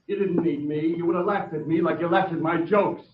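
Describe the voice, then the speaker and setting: An actor playing a jilted, obsessive nightclub comic, intense and bitter in a radio drama. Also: male voice, fairly high pitch, steady tone, a little fast, close to an everyday voice. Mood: bitter, menacing. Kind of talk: 1950s radio drama acting. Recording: dull vintage radio recording, faint hiss.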